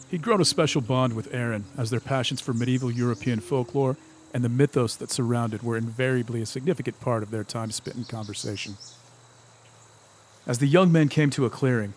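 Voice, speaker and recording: A man's voice: mid-range voice, a little mellow, a little hiss or hum. A man reading scripted, literary storytelling narration for an audio drama.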